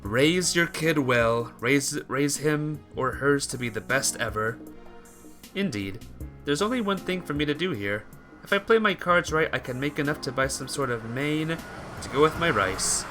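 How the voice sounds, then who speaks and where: A man live-streaming a visual novel, reading the text aloud and breaking off for casual, halting asides to his viewers. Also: on the high side, animated, pushed and bright. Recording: music behind the voice.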